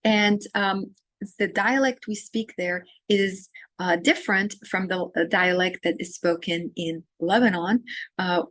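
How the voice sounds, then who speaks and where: A woman from Syria giving a recorded course lecture, talking in a halting way with many fillers and restarts. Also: on the low side, fairly bright tone, dry recording. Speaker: literature lecturer.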